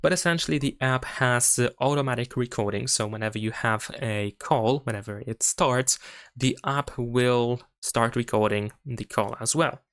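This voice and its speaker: A man's voice: medium pitch, normal speed, fairly bright tone, smooth, dry recording, studio quality. A man narrating a step-by-step tech tutorial in a methodical, explanatory manner.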